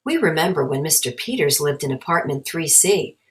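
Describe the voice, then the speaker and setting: A woman's voice: sits low, very animated, a little fast. A woman voicing a boy's practical mother in a storybook, matter-of-fact and firm but caring. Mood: explaining.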